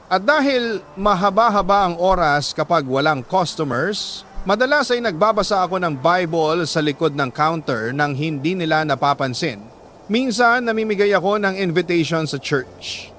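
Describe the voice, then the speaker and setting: A man with a Filipino accent reads a dramatic first-person story as a radio storyteller, in short, measured phrases with pauses. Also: sits high, fairly bright tone.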